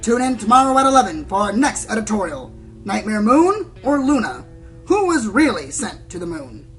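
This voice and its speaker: A woman playing a cynical, worldly-wise pony news reporter in a radio play, brisk and condescending. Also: medium pitch, sing-song, close to an everyday voice. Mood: theatrical, smug. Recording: roomy, off-mic, faint music behind.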